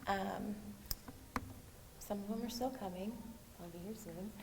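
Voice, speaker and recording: A soft-voiced woman gives a halting, nervous speech, often pausing on ums. The room around her echoes and a steady noise sits under her voice. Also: medium pitch, vocal fry. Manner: hesitant, halting, with many ums. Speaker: nursing graduate giving a speech.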